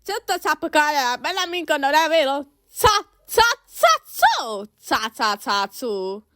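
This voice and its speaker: A woman's voice: high-pitched, sing-song, slow pace, a bit bright, no echo, studio quality. A woman doing an amateur cartoon fan dub, acting out several characters in an exaggerated, exclamatory way.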